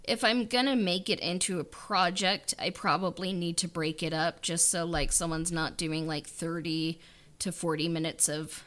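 A woman's voice: fairly low pitch, steady tone, normal speed, a bit bright. A woman in a casual, unscripted chat about her creative work, rambling and peppering her talk with "like" and "you know". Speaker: podcast guest and audio drama writer-director.

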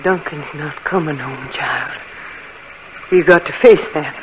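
A woman's voice: low voice, slow, a little hiss or hum. A woman playing a weary, practical older mother, scolding and tired, in 1940s radio acting. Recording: dull 1940s radio broadcast.

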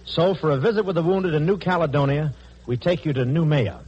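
A man's voice: on the high side, a little fast, a little mellow, breathy edge, dull audio. A man reading a formal, scripted announcement in 1940s radio style on a vintage broadcast.

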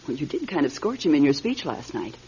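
Husky, worried wife. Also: female voice, low-pitched, big pitch swings, brisk, dark tone, full character voice. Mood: worried, probing. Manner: urgent, questioning. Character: worried, probing wife in a tense household. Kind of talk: radio drama acting. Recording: dull old radio recording.

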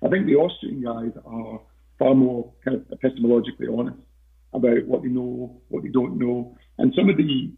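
A man as a podcast guest in casual interview talk, hesitant with many fillers and restarts, his voice croaky. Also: medium pitch, moderate pace. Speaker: podcast guest, investment researcher. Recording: tinny phone-like line.

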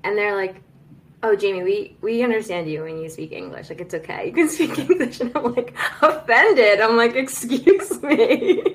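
A woman's voice: a little high, moderate pace, a little hiss or hum. A woman hosting a podcast, chatting frankly and telling personal stories. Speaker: podcast host. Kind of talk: casual conversation.

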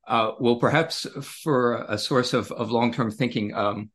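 A native English-speaking man hosting an interview, relaxed and a bit jokey, with many fillers. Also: mid-range voice, unhurried. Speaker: interview host. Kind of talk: interview moderating.

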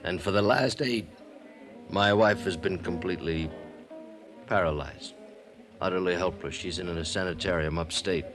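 An actor playing a tipsy, world-weary middle-aged radio producer who rambles theatrically and laughs in a 1950s radio drama. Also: male voice, low voice, normal speed, long pauses, over music, natural voice. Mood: confiding.

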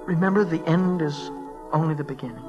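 An American man playing an earnest, grieving teenage boy in a 1940s radio drama, speaking haltingly and with emotion. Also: a little high, lo-fi sound, close to an everyday voice. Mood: solemn, emotional. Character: earnest grieving teenage boy reading his soldier brother's letter. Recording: vintage 1940s radio broadcast, music behind.

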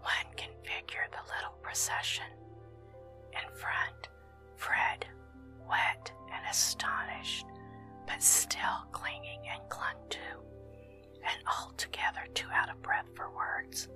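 Slow whispering woman reading a story. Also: music in the background. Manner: soft unvoiced whisper throughout, slow and measured. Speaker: narrator. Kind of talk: whispered ASMR audiobook reading.

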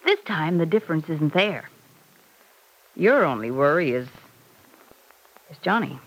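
A woman playing a fond, slightly fussy middle-aged mother in an old-time radio soap opera, gentle and expressive. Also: low voice, a little slow, poor audio. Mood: reassuring, probing. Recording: vintage radio recording.

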